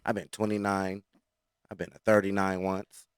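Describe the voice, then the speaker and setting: A man's voice: deep voice, level tone, moderate pace, smooth, dry recording, studio quality. A man talking frankly in a casual chat, boastful and teasing.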